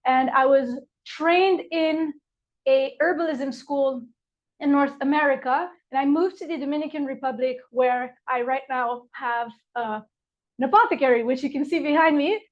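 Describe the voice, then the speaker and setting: A woman's voice: high-pitched, moderate pace. A woman from Morocco, an herbalist, giving a heartfelt live online workshop with frequent fillers from her apothecary over a video call. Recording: dull lo-fi video call audio.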